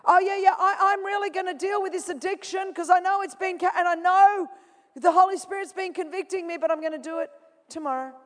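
A woman preaching to a congregation, her voice ringing through a large auditorium with a long reverb. She moves from loud, lively imitation to quiet, intense confession. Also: extremely high pitch, a little fast. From Australia. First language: Greek. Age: 40s. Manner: dramatic, swings from loud mimicking to hushed intensity. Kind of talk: sermon.

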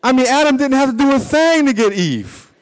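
A pastor in his fifties with a high voice, preaching bluntly and with humor. His pitch swings up and down and his pace jumps between slow and fast. The sound is dry and close, with little room around it. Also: big pitch swings, no room sound, poor audio. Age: 50s. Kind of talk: sermon.

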